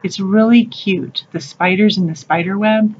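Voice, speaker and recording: A woman's voice: medium pitch, normal speed, darkish tone, muffled recording, a little hiss or hum. A woman narrating a casual shop-with-me video in a craft store, gushing and chatty about what she finds. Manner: gushing, chatty, calls things cute. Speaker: shopping vlogger. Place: craft store aisles, indoors.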